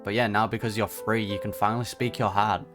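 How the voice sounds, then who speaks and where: A man's voice: lowish voice, level tone, fairly quick, over music. A man giving casual reaction commentary on a video game, conversational and sympathetic in manner. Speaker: gaming reaction streamer.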